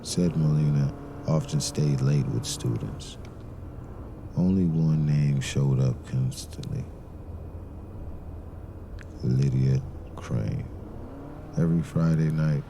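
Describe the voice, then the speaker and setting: An actor playing a brooding, suspicious detective who narrates a noir crime drama in a grave, deliberate manner. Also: male voice, a bass voice, dark tone, slight background noise, heavily acted. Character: brooding male detective, terse, suspicious and methodical. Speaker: narrator and lead character of an audio crime drama.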